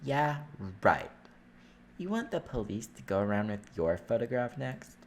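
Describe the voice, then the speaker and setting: A man's voice: medium pitch, sing-song, measured pace, a little hiss or hum. A man voicing a stern, scolding parent from a visual novel game he is reading aloud. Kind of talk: game character read-aloud.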